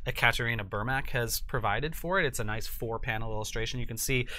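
A man's voice: mid-range voice, fast talker, sharp tone. A man in his forties talking casually and explaining products as a guest on a livestream. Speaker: guest, game publisher. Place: small indoor studio set with sofa and curtains.

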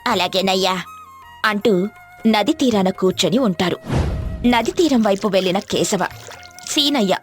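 A woman's voice: mid-range voice, lively intonation, normal speed, pauses often. A woman narrating a scripted moral story. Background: faint music.

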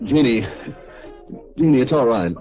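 An actor playing a smooth-talking man with his young sweetheart in a 1950s radio drama. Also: mid-range voice, lively intonation, unhurried, soft background music, lightly acted. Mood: strained, soothing. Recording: dull vintage radio recording.

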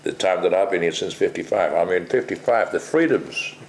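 An older man originally from the Netherlands, being interviewed at home and talking bluntly, with plenty of swearing and pauses. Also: sits low, expressive, reverberant, slight background noise. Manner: blunt, salty, rambling with many pauses. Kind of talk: casual interview. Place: kitchen at home, indoors.

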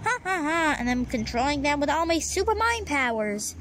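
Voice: high-pitched, big pitch swings, moderate pace, a bit bright. An amateur reader playing a gloating, revived super-villain fish from a comic book in a theatrical manner. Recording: noisy outdoor phone recording.

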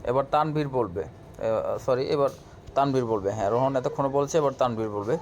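A man's voice: medium pitch, quick pace, a little mellow. A man giving a casual step-by-step app tutorial, speaking directly to viewers in an instructional way. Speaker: tutorial host. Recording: clear background noise, room ambience.

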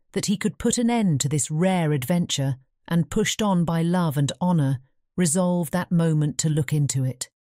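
A woman narrating a fairy tale audiobook in a measured, formal storybook reading. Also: low voice, dark tone, no room sound, studio quality.

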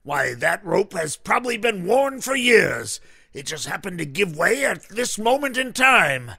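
An actor playing a pompous, dismissive theatre director with a grandiose, emphatic way of talking. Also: male voice, high voice, sing-song, a little slow, gravelly, sharp tone, husky, no echo, clean studio sound, exaggerated voice.

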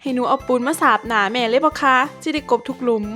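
A cheeky young beautician in a cartoon talks in a sassy, teasing way with an Isan accent, played by a woman. Her voice is high. Faint music plays underneath. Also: fast talker, pushed and bright.

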